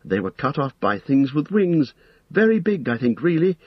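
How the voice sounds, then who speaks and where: A man voicing a frightened, pleading young male hobbit in a storybook reading, with a shrill, stammering voice. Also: big pitch swings, moderate pace, breathy edge, no echo, muffled recording. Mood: hesitant, frightened. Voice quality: shrill, strained. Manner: stammering, hesitant.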